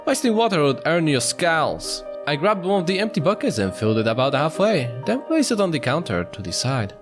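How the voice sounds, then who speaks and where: Guy streaming a visual novel readthrough, very expressive, trips on words, bit of music behind him. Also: on the high side, brisk. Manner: expressive, stumbles over words. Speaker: streamer, narrator. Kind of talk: reading visual novel narration aloud.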